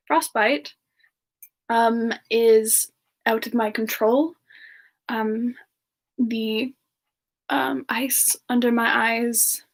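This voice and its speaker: A woman plays a gentle frost siren with a high, soft voice. She talks haltingly and candidly in an intimate semi-ASMR roleplay, often dipping toward a whisper. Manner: soft, hesitant, halting delivery with whispery dips. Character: gentle female frost-covered siren, modest and playfully candid. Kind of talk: semi-ASMR in-character question and answer.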